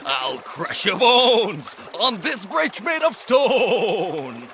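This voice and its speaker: A man's voice: sits high, sing-song, drawn-out words, sharp tone, voice pushed up, full character voice. A man voicing a big, angry, fearless billy goat in a storybook reading. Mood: defiant. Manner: angry and defiant.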